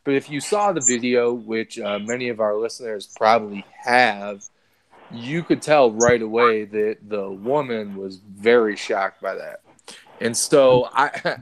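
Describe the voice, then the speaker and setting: A man in a casual podcast chat who talks in a laid-back, slangy way. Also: fairly low pitch. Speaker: podcast co-host.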